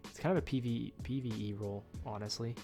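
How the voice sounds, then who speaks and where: A man's voice: medium pitch, fairly quick, gravelly, soft background music. A young man with a nasal, stuffed-up voice giving rambling, casual commentary while he plays a game at home, full of ums and uhs. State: nasal from a cold. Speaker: gaming YouTuber.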